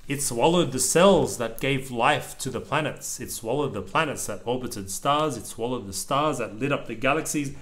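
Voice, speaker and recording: A man reading a children's picture book aloud to a small child, pausing to explain things in simple words. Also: mid-range voice, fairly bright tone. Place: indoors at a table over the open book.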